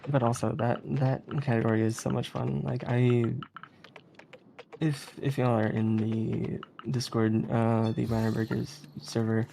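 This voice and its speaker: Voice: mid-range voice, level tone, a little slow, creaky, mellow. A speedrunner giving casual, rambling commentary on a live stream, often chuckling. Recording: noisy live stream audio.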